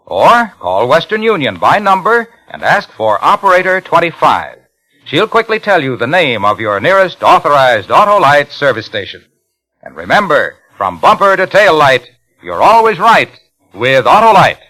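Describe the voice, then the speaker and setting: Animated, forceful 1950s radio pitchman. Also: normal speed, low-quality recording. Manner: polished, emphatic salesmanship. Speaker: commercial announcer. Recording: vintage 1950s radio recording.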